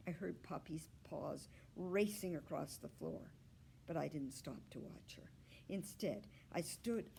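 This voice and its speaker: A woman narrates a children's story as a library storyteller. She reads at a slow, deliberate pace with animated, expressive pitch. Faint noise sits in the background. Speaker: library storyteller reading aloud. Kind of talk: children's story read-aloud.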